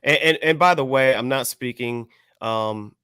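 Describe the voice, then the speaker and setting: An American man co-hosting a podcast, discussing things casually in a measured, careful, hedging way. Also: medium pitch, bright, forceful tone, clean tone, no echo, studio quality. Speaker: podcast co-host.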